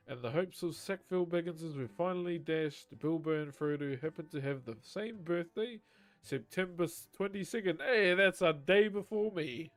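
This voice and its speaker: A man reading a novel aloud on a live stream, chatting casually with viewers between passages and swearing freely. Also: a little high. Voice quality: naturally loud voice. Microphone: desk microphone.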